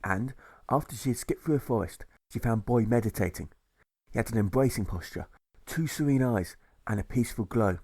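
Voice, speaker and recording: A man reading a scripted fable as an audiobook narrator, in a measured, deliberate storybook manner. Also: mid-range voice, darkish tone, slightly rough, no room sound. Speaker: author reading own audiobook.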